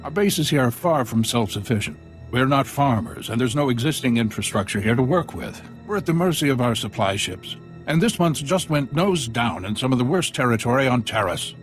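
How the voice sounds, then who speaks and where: An actor playing a harried, deferential outpost commander in a sci-fi video game, obliging and plainspoken. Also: male voice, mid-range voice, lively intonation, a little fast, faint music behind, lightly acted. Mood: deferential, worried. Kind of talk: video game dialogue acting.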